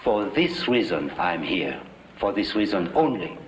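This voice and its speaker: An actor playing a proud, haughty older general, speaking slowly and formally. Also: male voice, deep voice, very animated, a little hiss or hum. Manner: slow, formal, declamatory. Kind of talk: film drama acting.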